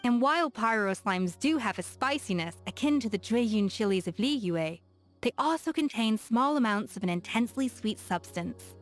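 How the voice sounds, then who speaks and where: A woman's voice: a little high, normal speed, natural voice. An actress playing a confident, playful young woman chef in a game, self-assured and curious, with faint music behind her. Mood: musing. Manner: self-assured, teasing, lively. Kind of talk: game character voice lines.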